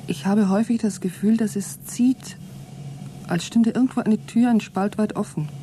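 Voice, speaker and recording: A mellow, mid-pitched female voice with faint rumbling noise behind it an actress playing an anxious, defiant woman in a German radio drama. She sounds like someone trapped at close quarters, uneasy yet unwilling to give in. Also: normal speed, lightly acted. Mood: uneasy, weary. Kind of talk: German radio play acting, spoken logbook monologue.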